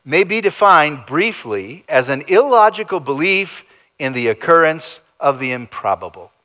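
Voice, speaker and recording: A man preaching a sermon as pastor, in a dramatic style that swings between loud emphasis and soft, low endings. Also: sing-song, moderate pace. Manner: dramatic preaching with big swings in pitch and loudness. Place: church sanctuary.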